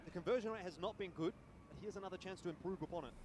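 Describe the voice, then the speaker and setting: A male esports commentator with a high-pitched voice calls the match live. He talks through the play as it unfolds, and there is clear noise behind him on the broadcast. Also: rapid-fire. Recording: noisy game broadcast audio.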